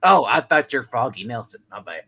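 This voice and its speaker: A man's voice: medium pitch, very animated, a little fast, gravelly, sharp tone, slightly rough. A man doing joking asides and narration during a live script reading. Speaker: play reader.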